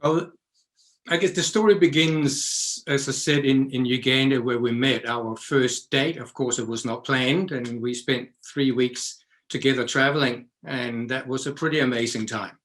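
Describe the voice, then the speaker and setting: Older man recounting calmly. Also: mid-range voice, normal speed. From Denmark. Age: 60s. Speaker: interview guest. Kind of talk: storytelling in a podcast interview.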